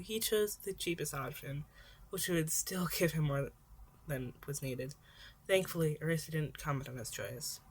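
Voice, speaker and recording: A woman reading a story aloud as narrator, in scripted audiobook style. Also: sits low. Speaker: podfic narrator.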